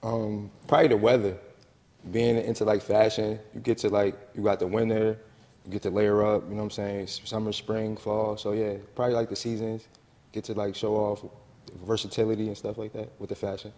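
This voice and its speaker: Soft-spoken low-pitched man, hesitant. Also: level tone, brisk. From New Jersey. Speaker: guest, brand owner and stylist. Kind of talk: casual interview chat. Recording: long reverb in the room.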